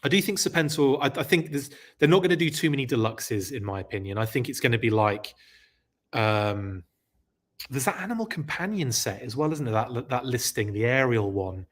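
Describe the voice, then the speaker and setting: Brief: male host, casual rambling live chat, slightly rough throat. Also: mid-range voice, lively intonation, normal speed. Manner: chatty, rambling, self-correcting. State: scratchy throat. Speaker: podcast host. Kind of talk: live stream chat reading comments.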